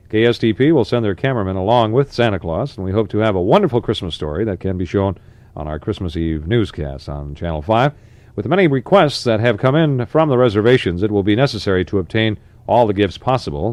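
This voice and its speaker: A man's voice: lowish voice, fast, a little mellow, no echo. An American man working as a 1960s AM radio DJ, reading announcements in a conversational announcer style with short asides. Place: radio studio.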